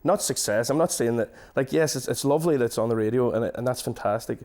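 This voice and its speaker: A man with a Donegal Irish accent, a singer-songwriter guest chatting in a studio, hesitant and restarting often, his voice trailing off quietly. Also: medium pitch, fast. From Donegal, Ireland. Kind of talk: casual interview chat. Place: radio studio.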